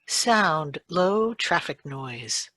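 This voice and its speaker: Woman reading sound cues, very slow, bright and dry. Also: low-pitched, dry recording, faint music behind. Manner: slow, flat announcing of cues. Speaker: sound effects reader. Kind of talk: reading stage directions.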